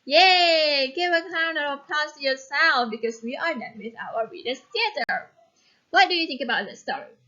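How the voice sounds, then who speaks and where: A woman teacher reading a story expressively and giving lesson instructions with clear, instructive phrasing. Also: high voice, animated, moderate pace, pushed and bright, muffled recording.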